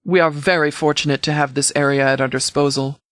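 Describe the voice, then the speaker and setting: A man playing a stern, principled post-apocalyptic sheriff in a video game, speaking in a formal, measured, articulate way. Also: fairly high pitch, fairly even intonation, fairly bright tone, no room sound, clean studio sound, natural voice. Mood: grave, measured. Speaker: voice actor in a game mod. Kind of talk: scripted video game character dialogue.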